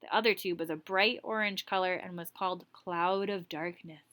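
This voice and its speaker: Bright-voiced woman reading a story aloud. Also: on the low side, moderate pace. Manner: expressive reading, casual explanations. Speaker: teacher reading aloud to students. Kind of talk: story read-aloud with explanatory asides.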